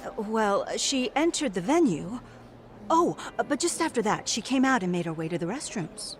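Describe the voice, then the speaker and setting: Nervous receptionist, kinda high, hesitant, voice bobs around. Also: female voice, big pitch swings, moderate pace, a little hiss or hum. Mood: flustered. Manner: hesitant, apologetic. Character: flustered female event receptionist.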